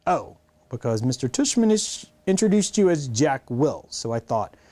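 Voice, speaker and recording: Shy new schoolboy, played by a man in a children's story: nervous, halting, mumbly. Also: medium pitch, very animated, warm tone, natural voice. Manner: mumbling, hesitant.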